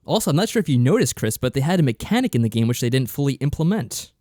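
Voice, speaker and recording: A man's voice: mid-range voice, sing-song, very fast, warm tone, no echo. Matter-of-fact man on a close, dry mic. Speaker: podcast co-host. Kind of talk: casual review chat.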